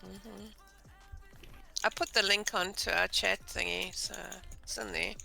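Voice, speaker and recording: Hoarse gravelly woman, slow and bright. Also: mid-range voice, steady tone, faint music behind. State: hoarse, voice deeper than usual. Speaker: gamer chatting on voice call. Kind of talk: casual chat.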